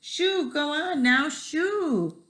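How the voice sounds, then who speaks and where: Woman voicing high-pitched, indignant storybook scolder. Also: expressive, drawn-out words, a bit bright, full character voice. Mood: indignant, shooing. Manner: exclaiming, scolding. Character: indignant storybook townsfolk shooing away a wet dog. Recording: echoey room.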